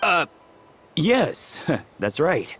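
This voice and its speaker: A man's voice: on the high side, sing-song, very slow, a bit bright, soft background music, exaggerated voice. An actor playing a nervous, polite young male trainee medic in a video game, speaking hesitantly. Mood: nervous, awkward. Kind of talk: video game character dialogue.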